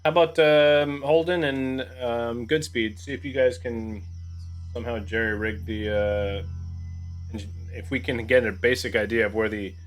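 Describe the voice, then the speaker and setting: A man's voice: mid-range voice, steady tone, moderate pace. A man talking casually as a player in a tabletop role-playing game. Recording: faint music underneath.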